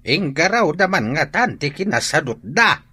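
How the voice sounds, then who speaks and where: A stern, grumbling village man in a radio drama. His voice is fairly high and forceful, with animated, scolding swings. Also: moderate pace, husky, exaggerated voice. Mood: grumbling, scornful.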